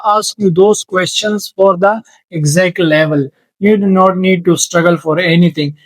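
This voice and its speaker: Slow-talking, earnest male tutor. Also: high-pitched, no room sound, poor audio. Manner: slow, earnest, with repetitions and restarts. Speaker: English teacher. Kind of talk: informal teaching monologue.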